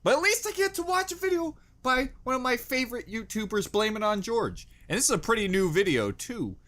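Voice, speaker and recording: A man's voice: very high-pitched, sing-song, fairly quick, fairly bright tone. A man hosting a reaction video with casual, joking, exaggerated and profane commentary.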